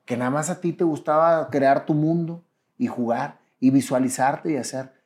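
A man's voice: on the high side, moderate pace, darkish tone. A man with a Mexican accent hosting a friendly conversational interview in an earnest, motivational way. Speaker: interviewer, show host.

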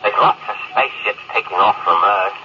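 A man's voice: fairly low pitch, a little fast, lightly acted. An actor playing an earnest, loyal spaceship crewman, deferential and consoling, in a 1950s radio drama. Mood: anxious, hopeful. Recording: very noisy, muffled old radio transmission.

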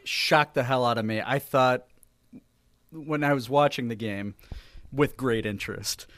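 A man's voice: mid-range voice, unhurried, fairly bright tone, no echo. A man from the northern United States co-hosting a sports podcast, bantering casually in a dry, self-deprecating way.

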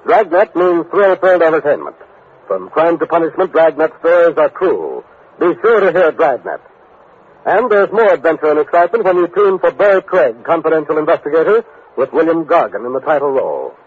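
A man's voice: on the high side, big pitch swings, fairly quick, slightly rough. An American man announcing in a formal, dramatic 1950s radio style, with emphatic scripted delivery. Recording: tinny old radio broadcast recording.

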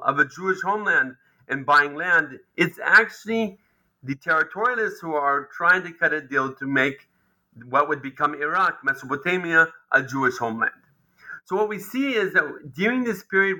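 A man, a history lecturer, answering an interview question in a thoughtful, explanatory way with frequent pauses and restarts. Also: medium pitch, bright, forceful tone, low-quality recording.

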